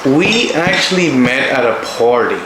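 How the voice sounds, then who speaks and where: A man's voice: mid-range voice, lively intonation, measured pace. A man in his twenties chatting casually to camera as a channel co-host, joking and slangy. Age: 20s. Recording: roomy echo, clear background noise.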